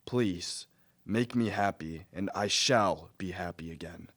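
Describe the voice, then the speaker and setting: Man playing a slow, lonely man-made monster. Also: low voice, fairly bright tone, dry recording, clean studio sound. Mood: pleading, wounded. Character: lonely, spurned man-made monster, pleading, menacing, childlike when newborn. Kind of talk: dramatic radio play acting.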